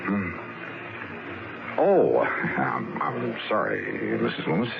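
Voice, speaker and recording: Wry, gravelly radio detective. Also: male voice, a bass voice, big pitch swings, slow pace, noisy background, exaggerated voice. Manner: dry, wry, terse. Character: wisecracking insurance investigator, world-weary adult man. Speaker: narrator and detective lead. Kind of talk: 1940s radio acting. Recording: muffled old radio recording with music.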